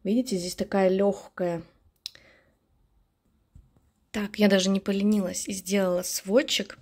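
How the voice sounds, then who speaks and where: Casually chatty woman, medium pitch. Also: level tone, a little slow, darkish tone. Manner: chatty, nostalgic, self-correcting. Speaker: beauty vlogger. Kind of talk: casual rambling chat. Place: indoors at home, at a dressing table.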